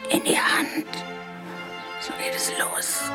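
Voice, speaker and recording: Actress as a whispering, fragile, resigned mother. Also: natural voice. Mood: resigned sadness. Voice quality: breathy, whispery. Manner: hesitant, wistful, slow. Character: fragile, resigned, dreamy mother dominated by her son. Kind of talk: German radio drama acting. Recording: music under the voice.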